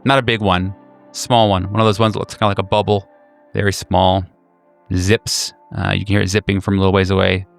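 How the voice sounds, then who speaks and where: A man improvising horror roleplay narration as game master, halting and pausing deliberately. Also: low voice, little pitch movement, creaky, soft background music.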